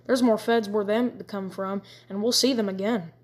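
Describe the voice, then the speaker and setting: A woman voicing a blunt Confederate soldier who talks in rough country dialect. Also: medium pitch, steady tone, moderate pace, a little mellow, close to an everyday voice. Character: gruff Southern male Confederate soldier.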